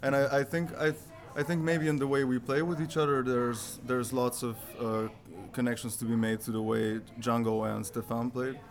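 A man's voice: medium pitch, fairly even intonation, normal speed, few pauses. A man, the band's violinist, answering interview questions thoughtfully and often repeating himself. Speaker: violinist in a band. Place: music venue. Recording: busy crowd chatter behind.

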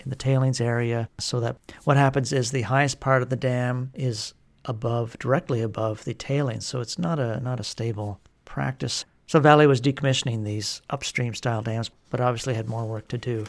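Mid-pitched male podcast host, measured delivery. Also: fairly even intonation. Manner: measured, informative, with some fillers. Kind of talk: news podcast monologue.

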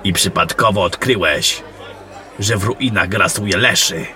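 A man playing a gruff, battle-worn, commanding general in a fantasy audio drama, blunt and suspicious in his questioning. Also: low-pitched, unhurried, bright, forceful tone, a faint crowd, heavily acted. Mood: stern, sarcastic. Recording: music behind the voice.

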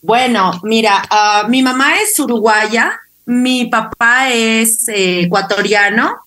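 Female interview guest, bright and fairly high voice, conversational storyteller. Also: slow. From Ecuador. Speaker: interview guest, clinical psychologist.

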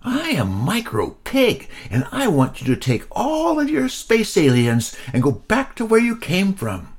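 A squeaky little pig hero. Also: male voice, a little slow, exaggerated voice. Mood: stern. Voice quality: squeaky, high. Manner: calm, stubborn sing-song. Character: tiny brave squeaky male pig hero.

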